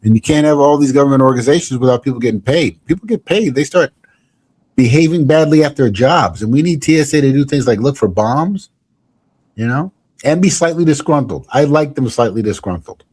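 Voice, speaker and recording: A man's voice: medium pitch, expressive, a little fast, a little mellow, breathy edge. A man hosting a comedy podcast, chatting casually in a rambling, joking way. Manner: rambling, jokey, restarts a lot. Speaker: podcast host.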